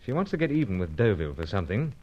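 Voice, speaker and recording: A brisk British secret agent from an old radio adventure serial. He speaks in clipped, deferential phrases with a lively, swooping delivery. The recording is dull and boxy. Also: fairly low pitch, a little hiss or hum. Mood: urgent. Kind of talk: old-time radio drama acting.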